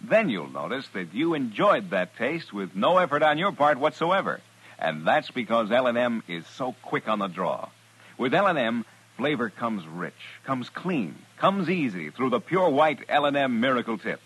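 A man's voice: medium pitch, very animated, normal speed, fairly bright tone, breathy edge, low-quality recording. A man announcing a vintage radio advertisement in an emphatic selling manner. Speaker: commercial announcer.

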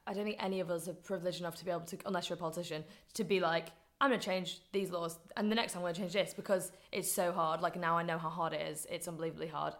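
A woman's voice: lowish voice, fairly even intonation, rapid-fire, few pauses, a bit bright. A woman in her twenties from Liverpool with a Scouse accent, a guest chatting casually in an interview and using lots of "like" fillers. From Liverpool, England. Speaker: podcast guest, campaigner.

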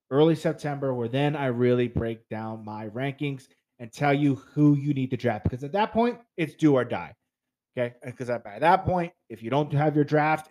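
A man's voice: a little high, a little mellow. A man in his thirties from New Jersey hosting a fantasy football podcast, chatty and upbeat, talking straight to his listeners while stuffed up with a cold. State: stuffed up, congested. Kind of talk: casual podcast monologue.

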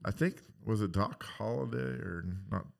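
Creaky low-voiced man chatting. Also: normal speed. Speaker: podcast panelist. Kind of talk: casual group chat.

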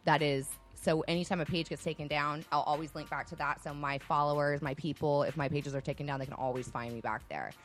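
Frank woman guest in her thirties, slightly low pitch, casual chat. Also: fairly even intonation, fast talker, hardly any pauses, soft background music. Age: 30s. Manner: frank, explains at length.

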